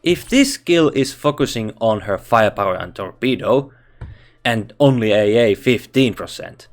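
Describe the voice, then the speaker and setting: A man with a Finnish accent reviewing a game character, thinking aloud in halting English with frequent restarts. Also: on the low side, expressive. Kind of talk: casual game commentary.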